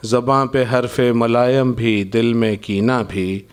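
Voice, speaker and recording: A man reciting Urdu poetry live as a poet at a gathering, in a measured declamatory way, repeating lines for emphasis. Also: medium pitch, steady tone, clear, resonant tone.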